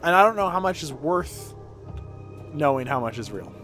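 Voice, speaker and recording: A man streams a game with casual, rambling commentary. His voice sits a bit high and moves around in very lively swings. He is sardonic and swears freely, over some background music. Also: moderate pace. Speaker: game livestreamer.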